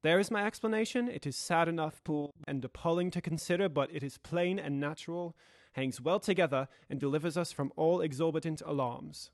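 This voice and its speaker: Measured, higher-voiced gentleman lawyer played by an actor. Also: dry recording, studio quality, close to an everyday voice. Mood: reasoning, grave. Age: young adult. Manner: measured, formal, reasoning. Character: sober, rational, sceptical gentleman lawyer. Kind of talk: audio drama acting.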